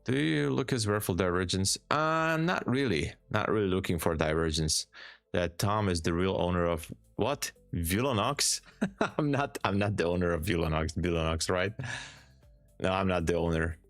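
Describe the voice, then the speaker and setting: A man with a Hungarian accent chatting calmly on a live stream, reading viewer comments aloud and answering them in an easygoing way, often repeating words for emphasis. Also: deep voice, moderate pace, dry recording, faint music behind.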